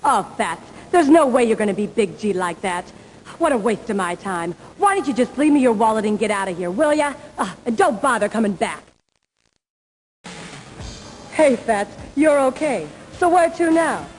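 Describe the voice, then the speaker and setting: A woman's voice: fairly high pitch, expressive, moderate pace, natural voice. An actress playing a sassy, brash female pool hustler in a video game cutscene, teasing and streetwise. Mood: friendly, warning.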